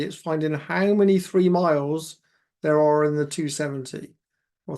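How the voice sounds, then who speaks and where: A man with a croaky voice, working through exam maths questions aloud step by step as a tutor. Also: a little high. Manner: steady step-by-step, talks himself through sums.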